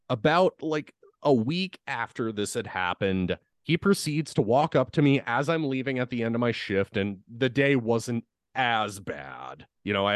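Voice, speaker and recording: Deep-voiced young guy ranting bout an old job, swears a lot, dry mic. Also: no echo. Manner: vents with frequent swearing. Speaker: podcast co-host. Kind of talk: casual storytelling.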